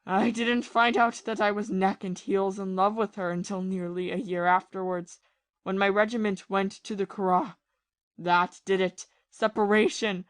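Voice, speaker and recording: A woman playing a bitter, lovesick, ruined ex-army captain in a period audio play, confessing passionately and haltingly. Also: mid-range voice, fairly even intonation, no echo, lightly acted. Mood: wistful. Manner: confessional, impassioned, halting with repeated phrases.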